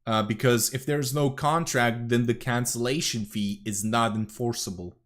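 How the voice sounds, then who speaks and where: A man's voice: mid-range voice, steady tone, moderate pace. A young man from Serbia, rambling through casual reaction commentary as a streamer, swearing often and correcting himself.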